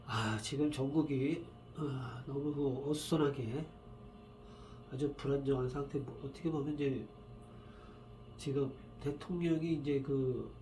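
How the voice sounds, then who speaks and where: A man with a cold and a roughened voice, tired from just waking up, talking in a rambling, halting way with many fillers as he gives fortune readings in a casual solo video. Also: mid-range voice, warm tone, slight background noise. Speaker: saju fortune reader.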